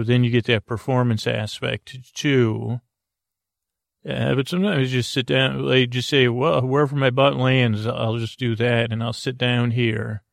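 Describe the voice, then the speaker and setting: A middle-aged man with a gravelly edge to his voice, talking in a soft, meandering way as he opens a bedtime podcast. He wanders off topic, restarts his sentences and keeps his tone low-key. The sound is close and dry, with no room around it. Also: dry recording. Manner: meandering, self-interrupting, soft-spoken. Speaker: podcast host. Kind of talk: rambling bedtime podcast intro.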